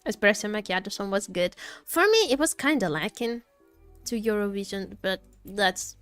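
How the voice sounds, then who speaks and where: A woman's voice: mid-range voice, expressive, moderate pace, a bit bright, soft background music. A woman livestream host chatting casually, rambling and searching for her words as she goes. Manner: rambling, hesitant word searching.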